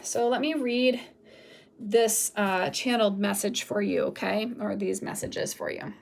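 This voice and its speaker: A woman with a raspy voice from recent illness, giving a casual, rambling tarot reading with many pauses and fillers. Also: medium pitch, fairly even intonation. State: raspy from recovering from flu. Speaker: tarot reader.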